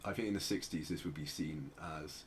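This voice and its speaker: A man's voice: very deep voice, a little fast, slight background noise. A man hosting a podcast, explaining things in casual chat. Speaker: podcast host.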